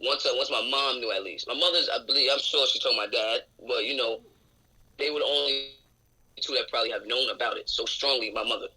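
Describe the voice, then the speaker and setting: A young man in his twenties talks with a raspy, intensely bright and forceful voice. He is outspoken and candid as he answers questions in a radio interview. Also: mid-range voice, fairly quick, poor audio. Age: 20s. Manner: outspoken, open and reflective. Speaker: interview guest. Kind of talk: candid casual conversation. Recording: radio show recording.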